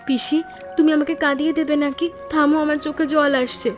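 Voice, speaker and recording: A woman playing a sweet, sentimental young village niece in an animated story, earnest and girlish. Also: high-pitched, brisk, faint music behind, close to an everyday voice.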